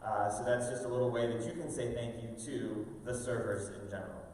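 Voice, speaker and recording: A man hosting a live show, giving an informal, halting welcome speech to an audience with drawn-out pauses. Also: medium pitch, steady tone, darkish tone. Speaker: host, school fine arts director introducing a show. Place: large indoor room with stage and audience tables. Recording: very echoey hall, distant mic, faint noise.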